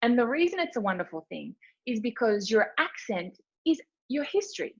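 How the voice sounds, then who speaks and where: A woman's voice: fairly high pitch, very animated, normal speed, slightly rough. An Australian woman giving a chatty, informal webinar presentation, telling stories with lots of fillers. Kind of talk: casual presentation talk. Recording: dull lo-fi webinar audio.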